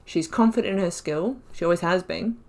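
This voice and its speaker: Casting: chatty woman, gaming YouTuber, lowish voice, thinks aloud. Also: a little fast, a little mellow. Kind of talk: casual commentary on a game playthrough.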